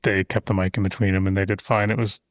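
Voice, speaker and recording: A man's voice: deep voice, little pitch movement, fast, no echo. A man hosting a podcast in a casual conversation, talking at length with loose asides on a close studio mic. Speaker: podcast host. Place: insulated shop-building studio.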